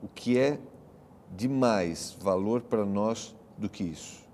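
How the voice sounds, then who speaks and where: Mellow Brazilian man hosting, long reverb. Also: lowish voice, slow pace, a little hiss or hum. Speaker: host of a religious study program. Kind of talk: televised Bible study discussion.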